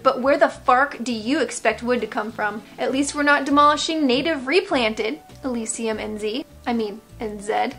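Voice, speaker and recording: A fairly high-pitched American woman talks casually to her viewers over background music. She hosts like a vlogger reacting to comments, reading bits aloud and tossing in playful, sarcastic asides. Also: a bit bright. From United States. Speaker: vlog host.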